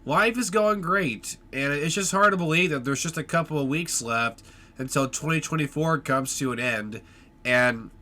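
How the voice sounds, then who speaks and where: A man with a bright, sharp-edged voice hosts his own podcast, chatting cheerfully. His nose is stuffed up from a cold, so he sounds nasal, and his talk is full of fillers and little restarts. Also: mid-range voice. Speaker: podcast host. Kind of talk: casual solo podcast talk.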